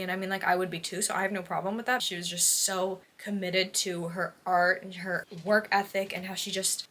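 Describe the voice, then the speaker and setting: A woman's voice: medium pitch, fairly bright tone. A woman chatting casually to camera as a book vlogger, with an effusive, dramatic and slangy manner. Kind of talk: casual chat to camera in a reading vlog.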